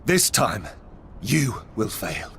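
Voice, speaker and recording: A man playing a grave, sorrowful son of the Furies in a video game cutscene, speaking with solemn, deliberate earnestness. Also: on the low side, big pitch swings, slight background noise, heavily acted. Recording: loud music under the voice. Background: loud game music.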